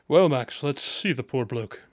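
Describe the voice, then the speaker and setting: A man's voice: medium pitch, lively intonation, measured pace, dry recording. A man playing a shrewd, self-assured detective in a radio drama, speaking formally with a wry, faintly condescending manner. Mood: businesslike, thoughtful. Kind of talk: scripted radio drama acting.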